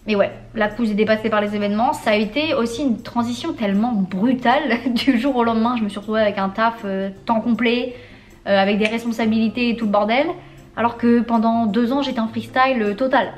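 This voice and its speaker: Chatty young French woman vlogging. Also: on the high side. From France. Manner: candid and chatty, with slang and frequent laughs. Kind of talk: casual confessional vlog.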